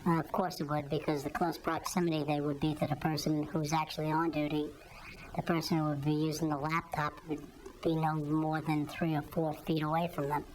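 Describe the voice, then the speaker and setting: A woman giving measured answers in an interview as a whistleblower. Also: low voice, level tone, slight background noise, poor audio.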